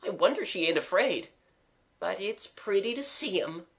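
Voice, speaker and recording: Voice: mid-range voice, lively intonation, normal speed, fairly bright tone, pitched above the performer's own voice. A narrator voicing a young working-class maidservant in an audiobook story, with rapt wonder and homely, uneducated speech. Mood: rapt, delighted.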